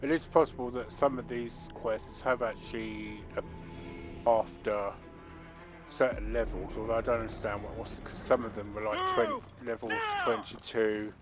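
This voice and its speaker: A man's voice: fairly low pitch, flat delivery, moderate pace, music in the background. A man commenting on his video game playthrough, rambling and chatty. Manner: rambling and chatty, many fillers. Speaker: gaming channel host. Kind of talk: let's play commentary.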